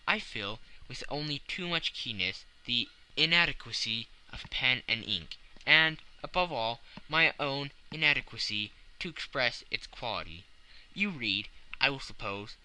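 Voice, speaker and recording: A man reads classic fiction as a dramatic audiobook narrator. His voice sits at a middle pitch and is very bright and forceful. The delivery is scripted, with lively melodic movement. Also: low-quality recording.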